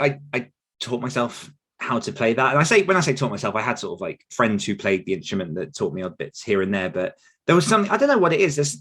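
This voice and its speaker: A man's voice: mid-range voice, a bit bright, breathy edge. A man in his thirties chatting casually and reflectively on a podcast, rambling and self-deprecating in manner. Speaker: podcast host and author telling his own story.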